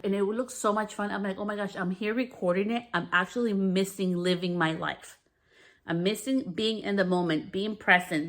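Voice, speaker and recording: A woman in her thirties with a deeper natural voice, hosting a podcast in a frank, candid monologue that swears freely. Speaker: podcast host.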